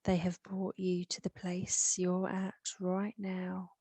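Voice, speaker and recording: A woman with an English accent leading a guided meditation, soothing and unhurried, with long pauses. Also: fairly low pitch, completely flat delivery, dark tone, no room sound.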